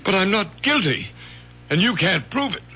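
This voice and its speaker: An actor playing a desperate, guilty middle-aged professor in a 1970s radio drama, blustering and pleading. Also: male voice, high-pitched, big pitch swings, normal speed, bright, forceful tone, slightly rough, exaggerated voice. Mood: defensive. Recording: dull old radio broadcast.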